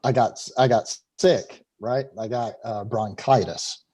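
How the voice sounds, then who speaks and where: A man's voice: mid-range voice, unhurried, dark tone, breathy edge. Rambling man on a video call. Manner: talkative, stumbles and repeats words, laughs easily. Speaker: interview guest, filmmaker. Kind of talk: casual video call interview. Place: home room.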